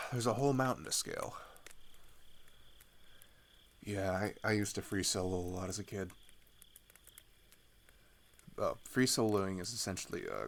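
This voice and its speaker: A man playing a weary, hesitant teenage refugee in an intimate ASMR audio drama, speaking in a halting, confiding way that often drops toward a whisper. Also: a little hiss or hum, heavily acted. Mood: tentatively hopeful, then casual, boastful. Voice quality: low, gravelly with vocal fry.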